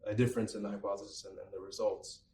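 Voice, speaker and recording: Young guy lab student, low flat voice, lots of ums, echoey room. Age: young adult. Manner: measured, hesitant with many ums. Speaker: university student, lab researcher. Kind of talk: candid panel discussion. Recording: roomy, distant mic, faint noise.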